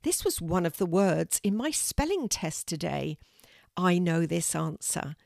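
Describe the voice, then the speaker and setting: A woman's voice: lowish voice, big pitch swings, a little slow, vocal fry, darkish tone, dry recording, studio quality, exaggerated voice. A woman voicing an eager, earnest young girl in a children's storybook, careful and a little unsure. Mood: thinking aloud.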